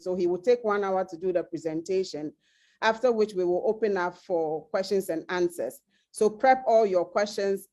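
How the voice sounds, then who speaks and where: Mid-pitched woman, event moderator, instructive, some filler pauses. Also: darkish tone. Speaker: session moderator. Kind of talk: online event announcements.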